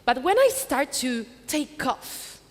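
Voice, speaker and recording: Lady on stage, higher voice, slow halting english, big hall reverb. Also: very animated, a little mellow. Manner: halting English, short broken phrases. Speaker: event speaker and presenter. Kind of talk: public speaking on stage. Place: theatre stage. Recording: echoey hall, long reverb.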